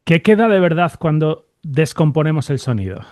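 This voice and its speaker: A man's voice: a little high, sing-song, moderate pace, a little mellow, no echo, clean studio sound. A man from interviewing in a reflective, conversational way, phrasing his thoughts tentatively. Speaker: podcast host, interviewer.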